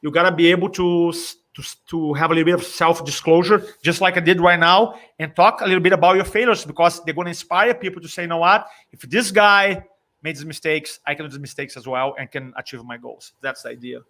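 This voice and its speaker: Animated Brazilian man in his fifties. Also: a little high, quick pace. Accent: Brazilian Portuguese. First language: Portuguese. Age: 50s. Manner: animated storyteller, acts out his own reactions. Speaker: communication coach. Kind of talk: casual interview chat.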